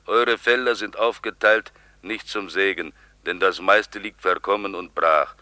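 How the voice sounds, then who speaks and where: A grave neighbour delivers a letter in a low, very sharp and forceful voice. He sounds sombre and urgent, recorded very dry. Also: fairly even intonation, a little slow, dry recording, heavily acted. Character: male neighbour writing a grave letter from homeland. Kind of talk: radio play letter reading.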